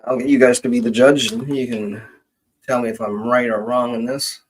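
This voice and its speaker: Male livestream host, mid-pitched with a husky edge, informal and halting, thinks aloud while presenting. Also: steady tone, poor audio. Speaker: genealogist hosting a question-and-answer stream. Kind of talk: casual live screen-share walkthrough.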